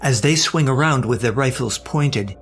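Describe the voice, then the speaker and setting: Gravelly male narrator, deliberate and suspenseful. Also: mid-range voice, soft background music. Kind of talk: scripted audio drama narration.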